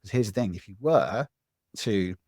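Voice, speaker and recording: A man, a public speaking coach giving a lively interview answer from home, acting out voices as he talks. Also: fairly low pitch, moderate pace, no echo, clean studio sound. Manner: animated, acts out other people's voices.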